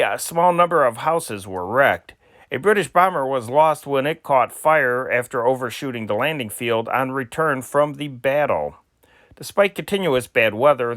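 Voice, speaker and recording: A man's voice: mid-range voice, a bit bright, no room sound, studio quality. A man reading old newspaper war dispatches aloud in an amateur style, often stumbling and restarting words. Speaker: news reader.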